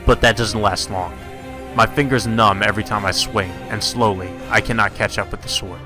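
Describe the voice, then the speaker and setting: A man's voice: lowish voice, steady tone, normal speed, over music. A man reading a visual novel aloud as narration, in the manner of a let's play host.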